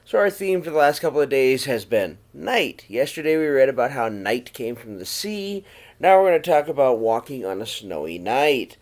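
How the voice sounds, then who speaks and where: A man narrates a children's storybook aloud in a medium-pitched voice. His intonation rises and falls widely, giving the read-aloud an expressive, dramatized feel suited to young listeners. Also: moderate pace.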